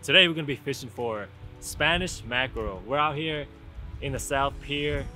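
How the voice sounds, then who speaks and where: A young man vlogging while fishing on an outdoor sea pier, chatty and excited, talking to viewers into a camera mic. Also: mid-range voice, moderate pace, soft background music. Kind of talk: casual vlog narration while fishing. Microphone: camera-mounted shotgun mic.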